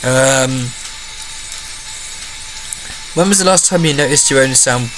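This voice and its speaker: A man's voice: mid-range voice, slow, a little hiss or hum, poor audio. A young man, congested from a cold and breathing heavily, rambling bluntly as he answers viewer questions. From England. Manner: rambling, blunt, swears freely. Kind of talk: rambling casual monologue. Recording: loud background music.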